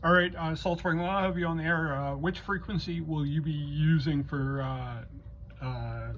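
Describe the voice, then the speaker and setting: A halting, courteous man speaking as the controller of an amateur radio net, in procedural style. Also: a little high, fairly even intonation. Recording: dull lo-fi audio, faint noise.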